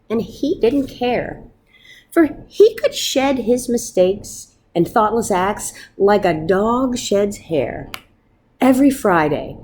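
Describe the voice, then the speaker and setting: A woman reading a picture book aloud as a storyteller, with expressive narration meant for children. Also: medium pitch, slight background noise.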